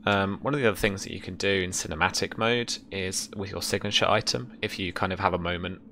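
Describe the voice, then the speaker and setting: A man's voice: low-pitched, steady tone, quick pace, pushed and bright, slight background noise. A young man running a tabletop game as game master, describing scenes casually in piecemeal phrases. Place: indoor room, video call.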